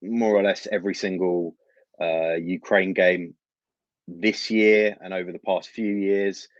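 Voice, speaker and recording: Man, London accent, very low pitch, football journalist guest, conversational, dry but muffled recording. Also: steady tone, unhurried, no room sound. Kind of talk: conversational interview answers. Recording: slightly dull, lo-fi microphone sound.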